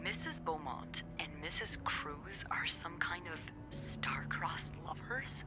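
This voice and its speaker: An actress playing a sardonic, playful young hotel receptionist in a mystery video game, teasing and expressive in casual conversation. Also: female voice, on the low side, slow, in a whisper, over music. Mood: sly, casual.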